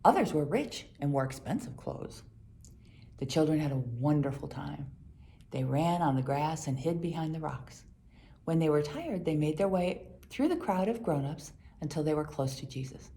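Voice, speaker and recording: A woman reading a children's Bible story aloud in an expressive storytelling manner. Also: low-pitched, normal speed, slight background noise. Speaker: storybook reader.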